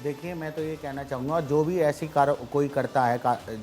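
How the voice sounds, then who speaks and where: Halting male debate panelist over faint music. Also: fairly high pitch, steady tone, darkish tone. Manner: halting, repetitive, insistent. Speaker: Islamic scholar, debate panelist. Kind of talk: live TV debate argument.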